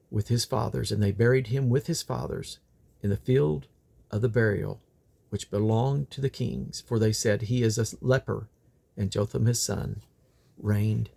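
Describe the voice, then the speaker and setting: A man reads scripture aloud in a mid-pitched voice with plenty of gravelly creak. His delivery is solemn and deliberate, set out phrase by phrase. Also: a little mellow. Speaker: Bible reader.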